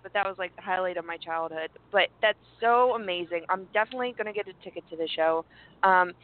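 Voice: medium pitch, fast. A podcast host chatting casually and gushing with enthusiasm over a guest. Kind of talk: casual interview chat. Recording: tinny phone-like line.

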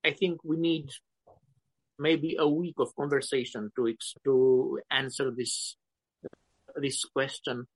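Slow halting Romanian-accented man. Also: mid-range voice, flat delivery, husky, no echo, rough recording. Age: 50s. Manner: slow, halting, searching for words. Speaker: poet guest. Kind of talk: interview answers.

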